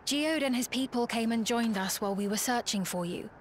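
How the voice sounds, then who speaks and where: A woman's voice: a little high, a little fast, a little hiss or hum, natural voice. An actress playing a composed, serious woman ally in a video game cutscene.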